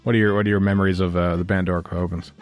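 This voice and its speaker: A man's voice: low voice, flat delivery, racing, faint music behind. A man chatting casually as a podcast host, joking and rambling as he interrupts himself. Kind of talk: casual fan podcast chat.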